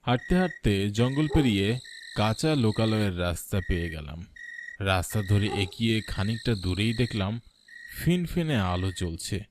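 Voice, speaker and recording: A man delivers scripted first-person narration for a cartoon story. His voice is fairly low, with a dramatic storyteller's delivery. There is clear noise in the background. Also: animated, moderate pace.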